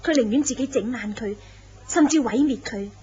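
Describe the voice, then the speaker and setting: An actress playing a tycoon's scheming young mistress in a horror radio drama, teasing and knowing, often slipping into soft laughs. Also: female voice, a little high, normal speed, close to an everyday voice. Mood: ominous. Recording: dull lo-fi recording.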